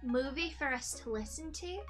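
A woman co-hosting a casual gaming stream, playful and quick to laugh. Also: sits high, lively intonation, fairly bright tone, faint music behind. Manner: playful, laughing, easily amused. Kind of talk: casual gaming livestream chat.

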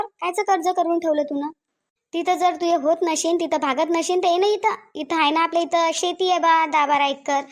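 An aging cartoon village mother, played by a woman, speaks in a very high voice with a Varhadi Marathi accent. She is plain-spoken and lonely, and she nags by saying things over again. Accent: Varhadi (Vidarbha) Marathi. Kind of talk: cartoon family drama dialogue.

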